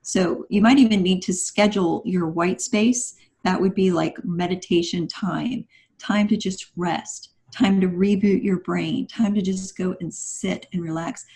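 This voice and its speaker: A woman's voice: on the low side, steady tone, unhurried, dark tone. A woman guest on a podcast, giving conversational advice in an instructive, encouraging manner.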